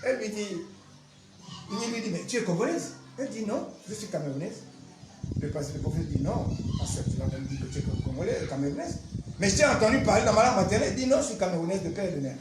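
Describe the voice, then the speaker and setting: A man, a pastor giving an animated, emphatic testimony and teaching with repetitive storytelling. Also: sits high, fast, noisy background. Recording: roomy echo, faint music behind.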